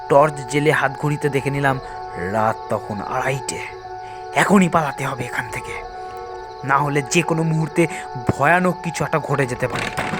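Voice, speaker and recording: A man narrating a scripted first-person horror story in a dramatic, suspenseful way. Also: medium pitch.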